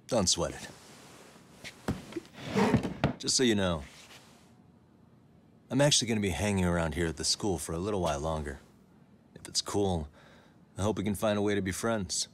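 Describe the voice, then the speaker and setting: An actor playing a laid-back, kindly detective in a video game dub, gentle and casual. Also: male voice, low voice, animated, a little fast, long pauses, slight background noise. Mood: reassuring.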